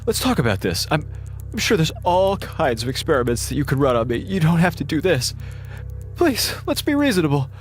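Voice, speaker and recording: A man's voice: on the high side, big pitch swings, fairly quick, faint music behind. An actor playing a wry, jittery male space station officer in a radio drama, rambling informally and stammering. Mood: panicked, pleading.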